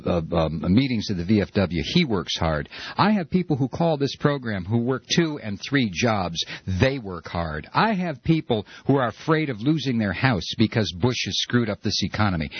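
A man's voice: lowish voice, slightly rough, dry recording, muffled recording. A male talk radio host taking calls, joking and sarcastic, who also builds into repeated, heated rants. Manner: sarcastic, mocking, loose and joking. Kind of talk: political call-in radio. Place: radio studio.

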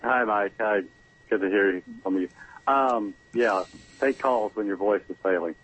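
A man's voice: lowish voice, normal speed, a bit bright, husky. A man calling in to a radio talk show, speaking casually and a bit hesitantly. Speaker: caller. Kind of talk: phone-in call. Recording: dull lo-fi phone line.

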